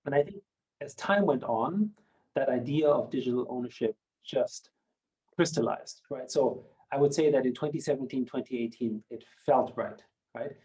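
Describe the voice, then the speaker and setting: A German native speaker in his fifties, from Austria, talks in a measured, reflective way and hedges often. His voice is mellow and warm, and its pitch sits in the middle range for a man. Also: rough recording. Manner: measured and reflective, many hedging fillers. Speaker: company founder and guest. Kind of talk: podcast interview.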